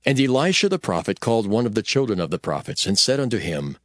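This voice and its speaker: A man narrating scripture for a dramatized audio production in a measured, declamatory manner. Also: fairly low pitch, big pitch swings, no echo, clean studio sound.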